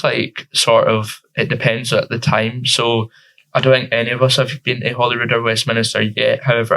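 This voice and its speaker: Teenage Scottish lad, flat delivery. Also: male voice, medium pitch, creaky, low-quality recording. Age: teens. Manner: relaxed, informal with frequent fillers. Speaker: youth councillor guest. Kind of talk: casual interview answers.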